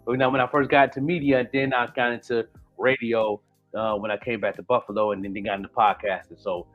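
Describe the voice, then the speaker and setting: A man's voice: medium pitch, level tone, fast talker. Male host, late 40s, loose rambling chat full of "you know what I'm saying", muffled lo-fi recording with faint music under it. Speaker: podcast host. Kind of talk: casual chat.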